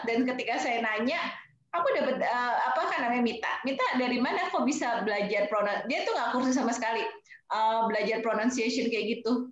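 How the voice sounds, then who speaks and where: A woman from Indonesia in her thirties, teaching English vocabulary to nursing students in a chatty way, with English terms mixed into her explanations and quiz questions to the class. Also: a little high. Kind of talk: online class lecture mixing two languages. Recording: very echoey, dull lo-fi sound.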